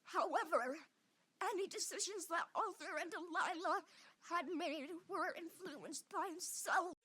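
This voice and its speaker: Young female amateur narrator for a comic dub; slow, deliberate pace, bright tone. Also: extremely high pitch, dry recording. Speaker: amateur voice-over reader.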